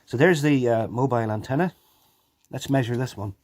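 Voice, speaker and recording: A man's voice: mid-range voice, brisk, background noise. A man giving a casual, unscripted hands-on demonstration into a phone, explaining and repeating key points. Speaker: video presenter showing a radio collection. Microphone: handheld phone.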